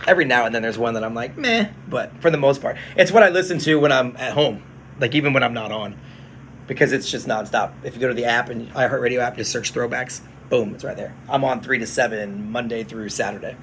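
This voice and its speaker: A man who works as a radio DJ, chatting casually on a phone livestream from his studio, relaxed and explaining things to viewers. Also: medium pitch, a little fast, slight background noise.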